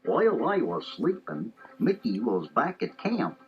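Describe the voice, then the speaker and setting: A slow, dim but good-natured cartoon dog, with a hollow drawl that swoops wildly up and down. He is folksy and bumbling, on dull old storybook tape with music behind. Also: mid-range voice, wildly swooping pitch. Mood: puzzled. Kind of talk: children's audio storybook acting.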